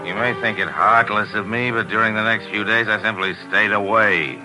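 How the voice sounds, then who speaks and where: An actor playing a measured, knowing first-person narrator in a 1940s radio drama. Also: male voice, on the low side, steady tone, close to an everyday voice, low-quality recording. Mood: measured, wry. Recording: old 1940s radio recording, music behind.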